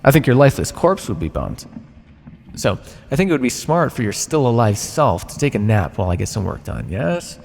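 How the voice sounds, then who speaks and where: In a reverberant room with some ambient noise, a man acts out a male vampire captor speaks straight to the listener. His mid-pitched voice swoops widely and theatrically. He is condescending and teasing yet inwardly conflicted, with sighs and drawn-out words in ASMR roleplay style. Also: very animated, creaky, darkish tone. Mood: smug, teasing.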